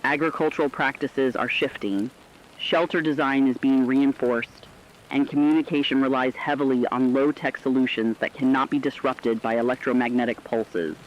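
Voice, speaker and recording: A man playing a sober radio broadcaster, reading a scripted post-catastrophe report in an earnest, measured way. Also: mid-range voice, steady tone, muffled recording, a little hiss or hum, close to an everyday voice. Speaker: radio announcer.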